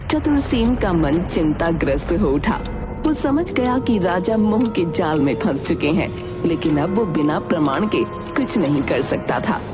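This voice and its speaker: A woman's voice: on the low side, very animated, a little slow, over music. A woman reading scripted, dramatic story narration as a storyteller.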